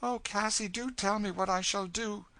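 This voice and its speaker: Man voicing a frightened pleading young woman, high-pitched. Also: steady tone, moderate pace, sharp tone, dry recording. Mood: horrified, pleading. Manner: eager, breathless, pleading.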